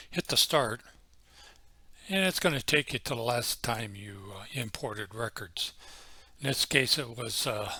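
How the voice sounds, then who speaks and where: A man giving an informal, unscripted software walkthrough as a tutorial presenter, explaining things methodically step by step. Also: medium pitch, dry recording. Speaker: tutorial presenter, amateur radio hobbyist.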